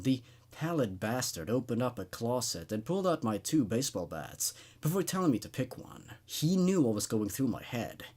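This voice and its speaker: A man's voice: medium pitch, a little mellow, slightly rough. A man hosting a horror story show, reading stories aloud and giving his thoughts on them. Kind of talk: dramatic story narration and laid-back commentary.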